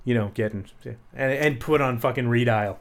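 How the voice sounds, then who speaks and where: A man's voice: medium pitch, moderate pace. Sarcastic, foul-mouthed man chatting. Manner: sarcastic, profane ranting. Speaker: podcast co-host. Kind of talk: casual chat.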